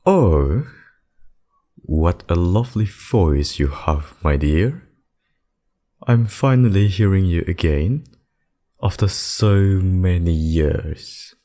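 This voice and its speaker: A man performs a possessive demon king with a very low, dark voice. He woos in slow, theatrical phrases, smug and courtly, with menace under the sweetness, in an ASMR roleplay. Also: animated. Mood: fond, teasing.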